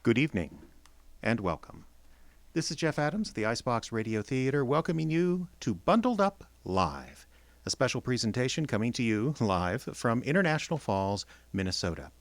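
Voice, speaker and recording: Lively male radio theater announcer, dry sound. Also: medium pitch, dry recording. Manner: theatrical, deliberate phrasing. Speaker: announcer and host.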